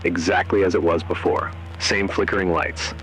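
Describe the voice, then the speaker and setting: A man narrating a scripted horror story in the second person, in short, measured, suspenseful phrases. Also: low-pitched, little pitch movement, poor audio. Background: music.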